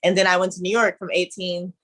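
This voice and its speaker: A woman's voice: fairly low pitch, little pitch movement, fast talker, a bit bright. A woman in her twenties from Ohio, animatedly telling a story as a guest in a casual conversation.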